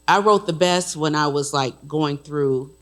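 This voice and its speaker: A woman's voice: sits low, measured pace, a bit bright. Woman rambling through a personal audience comment. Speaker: audience member. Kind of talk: audience question at a panel.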